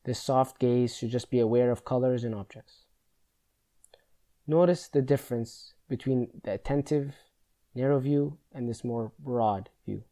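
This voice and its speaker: Mellow-voiced man guiding a meditation. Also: medium pitch, unhurried. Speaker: meditation guide. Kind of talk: guided meditation, slow instruction.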